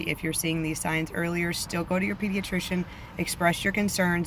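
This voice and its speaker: Flat-voiced mom vlogging outdoors. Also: female voice, lowish voice, slight background noise. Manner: chatty, many ums, flat delivery. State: voice a bit off from allergies. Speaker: vlogger, parent. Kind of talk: casual advice vlog.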